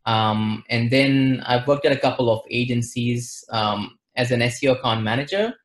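A young man from western India with an Indian accent, explaining things in a measured, matter-of-fact way as an interview guest. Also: mid-range voice, fairly even intonation. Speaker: interview guest, marketing advisor. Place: plain-walled room, indoors.

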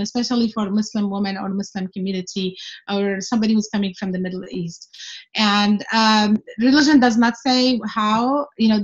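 A middle-aged woman from Syria, a guest speaking earnestly on a video call, with many fillers and restarts. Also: fairly high pitch. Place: room at home. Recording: dull lo-fi video call audio.

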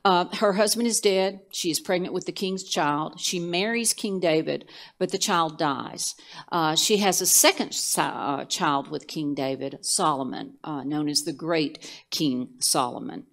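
An older woman co-hosting a church Bible study show, retelling scripture stories in a measured way with some fillers. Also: deep voice, vocal fry. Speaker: co-host teaching scripture. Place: church studio set, indoors.

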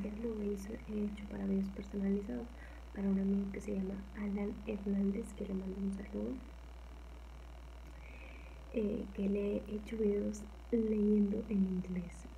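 Soft-spoken Mexican woman, gravelly low murmur. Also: flat delivery, normal speed, highs cut off, slight background noise. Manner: rambling, soft, often drops to a whisper. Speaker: ASMR channel host. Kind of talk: casual soft-spoken chat. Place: small home kitchen, indoors.

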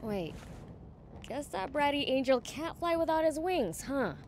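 A woman's voice: high voice, animated, a little slow, a little mellow, slight background noise. A woman voicing a brash, cocky young demon prince in a video game, bossy and informal. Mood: impatient, mocking. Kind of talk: video game cutscene acting.

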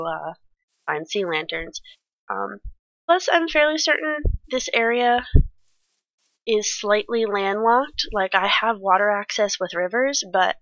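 Woman, congested and stuffy, rambly let's-play commentary, bright forward tone, dry dull home mic. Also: lowish voice, dry recording. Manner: rambling, many ums and restarts, chatty. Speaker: Minecraft let's play creator. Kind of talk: casual gameplay commentary.

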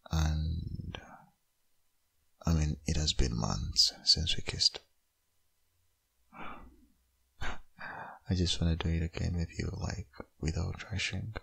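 A man playing a tender, wistful young father still in love with his ex in a boyfriend ASMR roleplay, speaking hesitantly in an intimate, husky, gravelly murmur. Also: rumbling low, bright, forceful tone, exaggerated voice. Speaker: audio roleplay voice actor.

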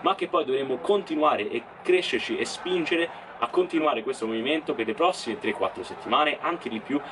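A young man in his twenties explaining training to camera in a small indoor room, quick and animated. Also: medium pitch, recorded from a distance, noisy background. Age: 20s. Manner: quick and animated, uses English gym terms. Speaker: fitness youtuber.

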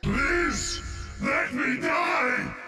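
Anguished, gravelly undying warrior. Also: male voice, very high voice, wildly swooping pitch, loud music behind the voice, heavily acted. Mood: anguished pleading. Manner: pleading, crying out, drawn-out shouts. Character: anguished undying warrior begging for death, then roaring back to life. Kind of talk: video game voice lines.

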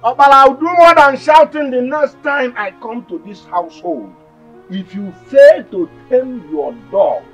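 A man's voice: very high-pitched, lively intonation, a little slow, fairly bright tone, soft background music, heavily acted. A man with a Nigerian Igbo accent playing an angry village father protective of his daughter, menacing and repetitive in a film drama. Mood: angry complaint. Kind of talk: Nigerian film drama acting, switching between English and Igbo.

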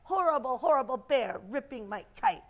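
A furious little girl from a children's storybook, acted out by a woman reader. She pitches her voice high and complains indignantly, stretching her words out slowly and loudly. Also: lively intonation. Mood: indignant, upset. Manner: shouting, indignant. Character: furious, stomping little girl with a torn kite.

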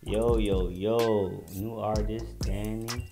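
A young man hosting a music reaction stream, reacting in low, hyped slang and echoing the lyrics. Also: little pitch movement, slow. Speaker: reaction channel host. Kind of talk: casual music reaction chat. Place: indoor streaming setup, gaming chair. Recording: rap track playing loud behind.

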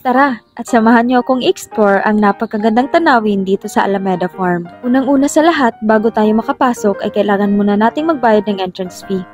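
A woman's voice: a little high, darkish tone. A young adult Filipino woman narrating a scripted travel vlog in a playful manner, with a Filipino accent. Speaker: vlogger, narrator. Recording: voice-over with music behind. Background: music.

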